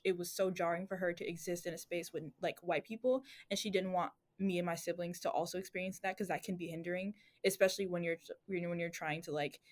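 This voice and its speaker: A young woman talking casually on a student podcast, reflective and rambling, with many fillers and restarts. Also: on the low side. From Atlanta family.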